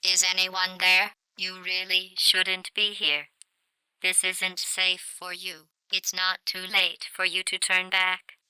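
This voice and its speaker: A woman playing a coldly polite, menacing artificial intelligence in a video game, deadpan and formal, with a synthetic voice flattened to a monotone. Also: slow pace, clean tone, no echo, full character voice, poor audio. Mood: menacing, coaxing. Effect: synthetic robot voice, pitch flattened to monotone. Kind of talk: scripted video game voice lines.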